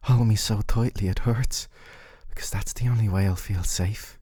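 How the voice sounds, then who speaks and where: A man playing a gentle but obsessive, possessive dating-sim love interest in an intimate, tender, coaxing ASMR roleplay. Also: on the low side, steady tone, normal speed, husky, dry recording, studio quality. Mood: yearning, tender.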